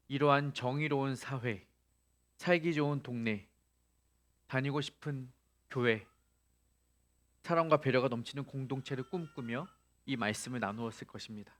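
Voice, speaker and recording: A male pastor preaching a sermon, asking the congregation rhetorical questions and rising to emphatic peaks. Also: mid-range voice.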